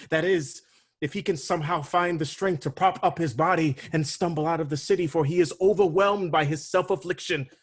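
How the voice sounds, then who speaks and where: An actor playing a grim palace messenger, giving a grave and theatrical recitation in a staged reading held over a video call. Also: male voice, sits high, husky. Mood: somber. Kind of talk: staged reading of a Greek tragedy.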